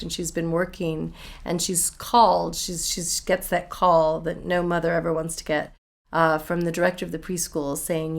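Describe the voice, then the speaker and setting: A woman novelist reading from her own book in an expressive, literary storytelling style. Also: on the low side.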